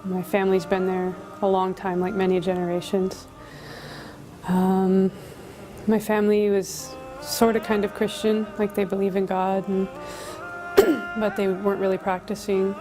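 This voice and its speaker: Flat-toned American woman over music. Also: mid-range voice, unhurried, low-quality recording. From Colorado, United States. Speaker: interviewee telling a conversion story. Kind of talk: casual personal storytelling. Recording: music playing behind the voice.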